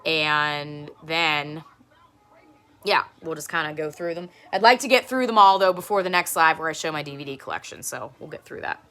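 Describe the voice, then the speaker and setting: A woman in her thirties with a low speaking voice, chatting casually and rambling as she hosts a live-stream show and tell from home, laughing often. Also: moderate pace, fairly bright tone.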